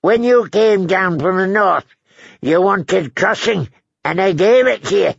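A man's voice: high-pitched, expressive, a little slow, creaky, breathy edge, no room sound, dull audio, voice pushed up, heavily acted. A man voicing a querulous, leering, wrinkled old lord in an audiobook, drawing out his words and breaking them up with querulous grunts. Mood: testy, mocking. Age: elderly. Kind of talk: audiobook character acting.

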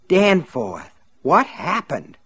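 A man's voice: on the high side, huge pitch swings, slow pace, fairly bright tone, slightly rough, pitched above the performer's own voice, exaggerated voice. A man reading an audiobook, voicing a frightened, timid middle-aged wife who pleads and cries out in pain in a raised, strained voice. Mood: anxious. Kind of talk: audiobook narration.

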